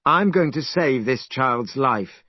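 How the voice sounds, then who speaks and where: A man's voice: medium pitch, lively intonation, slow pace, no echo, dull audio. A man voicing a clever, bold male monkey hero who speaks in a confident, commanding way.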